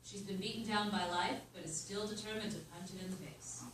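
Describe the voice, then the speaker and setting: A woman's voice: mid-range voice, a little fast, fairly bright tone. Woman reading screenplay directions, very echoey. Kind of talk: scripted screenplay narration. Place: live staged reading in a room. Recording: echoey room, distant mic, background noise.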